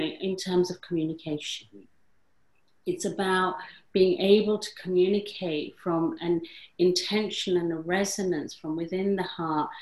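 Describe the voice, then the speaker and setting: Woman host from england, lowish voice, rambly filler-heavy chat, echoey room. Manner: rambling, many fillers and self-corrections. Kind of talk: reflective conversational chat.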